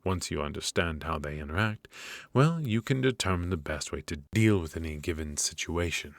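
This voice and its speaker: A man's voice: sits low, animated, gravelly, no room sound. A man voicing a cold, stern royal magic professor. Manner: measured, stern, lecturing. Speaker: voice actor in ASMR roleplay. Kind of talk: ASMR roleplay.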